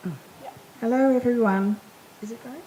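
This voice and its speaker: An older woman from Indonesia with a mellow, fairly high voice, speaking reflectively. She is heard in an echoey room with a faint murmur behind her. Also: moderate pace, a little hiss or hum. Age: 70s. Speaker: panel speaker. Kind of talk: self-introduction at a panel.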